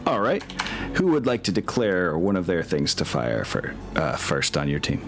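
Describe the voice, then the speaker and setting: A man's voice: sits low, wildly swooping pitch, normal speed, faint music behind. A man acting as game master in a casual tabletop wargame session, thinking aloud in an easygoing way.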